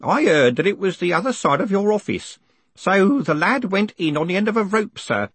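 A man's voice: sits high, animated, moderate pace, fairly bright tone, husky, no echo, pitched above the performer's own voice, full character voice. A man voicing a fussy, deferential old postal worker in an audiobook, earnest and insistent. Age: older. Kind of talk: audiobook character acting.

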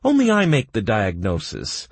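A man's voice: a little high, big pitch swings, slow, creaky, slightly rough, dry recording, pitched above the performer's own voice, full character voice. A man voicing a fussy, hesitant healer in a fantasy game story. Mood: offended. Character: anxious, matter-of-fact male healer of a gaming party.

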